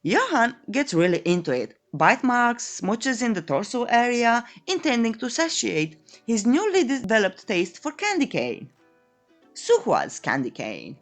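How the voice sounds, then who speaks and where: A woman's voice: on the high side, wildly swooping pitch, measured pace. A woman narrating a scripted comedic story recap in a playful, tongue-in-cheek, theatrical storytelling manner. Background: background music.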